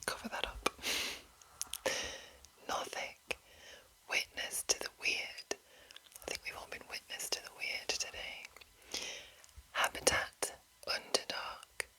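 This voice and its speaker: A woman hosting an ASMR reading, whispering in a hushed, deliberate way and lingering over short phrases. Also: rough recording. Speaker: ASMR host. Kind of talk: whispered ASMR reading of a game manual with casual commentary.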